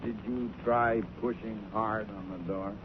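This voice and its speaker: An American actor playing a gruff, self-important police commissioner with a blustery, condescending manner in a 1940s radio drama. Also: male voice, lowish voice, steady tone, a little slow, close to an everyday voice. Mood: brisk, exasperated. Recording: hissy, tinny 1940s radio broadcast.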